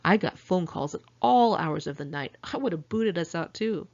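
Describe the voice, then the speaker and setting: A woman's voice: fairly low pitch, lively intonation, normal speed, a little mellow, highs cut off. A middle-aged woman narrates her own memoir in the first person, candid and wry with sardonic asides. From California, United States. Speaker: author reading own memoir. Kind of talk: first-person audiobook narration, diary storytelling.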